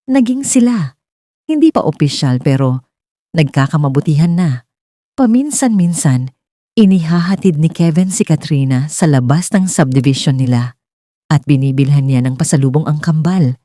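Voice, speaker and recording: A woman's voice: low-pitched, expressive, normal speed, mellow, no room sound, clean studio sound. A woman with a Filipino accent narrating a scripted romance story in a dramatic style.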